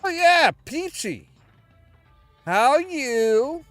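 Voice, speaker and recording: Male gamer, high and lively register, slangy and profane, loose off-the-cuff play-by-play at a slow pace. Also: no echo, faint music behind. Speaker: video game streamer. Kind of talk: casual gameplay commentary.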